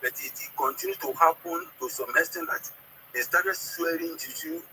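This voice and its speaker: A man from southern Nigeria with a southern Nigerian accent and Ika as his first language, calling in to explain history, haltingly, repeating words and checking he is understood. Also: on the high side, wildly swooping pitch, creaky, sharp tone. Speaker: caller. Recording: noisy call audio.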